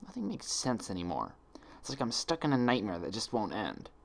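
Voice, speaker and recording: A man voicing an anxious, excitable, bookish young female pony scholar in a story reading. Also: on the low side, brisk, gravelly, heavily acted. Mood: hushed despair. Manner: agitated, questioning herself.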